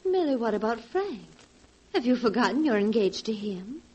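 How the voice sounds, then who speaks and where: A woman's voice: a little high, big pitch swings, normal speed, darkish tone, husky. A woman playing a sensible, protective young woman in a 1940s radio drama, composed and earnest. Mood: concerned, sisterly. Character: sensible, protective, grave young woman, elder-sister type. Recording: noisy vintage radio recording.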